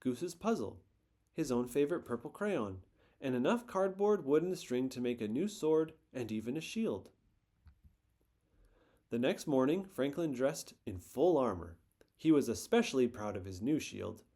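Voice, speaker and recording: A man reading a children's picture book aloud in a gentle, expressive storytelling manner. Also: mid-range voice, measured pace.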